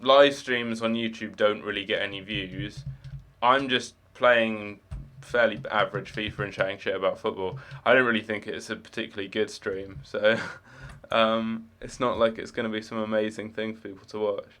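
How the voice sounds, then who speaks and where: A young man with no particular accent chats through a late-night game stream, his voice sitting slightly low. He rambles loosely, restarting sentences and padding them with fillers. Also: fairly even intonation, moderate pace, few pauses, pushed and bright. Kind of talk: casual live-stream chat.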